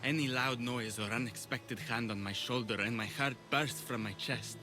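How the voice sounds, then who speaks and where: A man's voice: mid-range voice, fairly even intonation, normal speed, over music, close to an everyday voice. Game drama role man acting: traumatized Greek veteran, medium voice, earnest and exposed, orchestral backing. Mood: sorrowful confession. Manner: earnest, vulnerable, confessional. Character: haunted, troubled Greek war veteran, gentle and grateful.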